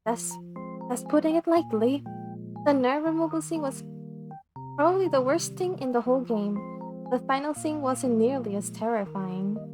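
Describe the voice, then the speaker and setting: A woman reading a visual novel script aloud in an expressive, dramatic way over game music. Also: high-pitched, moderate pace.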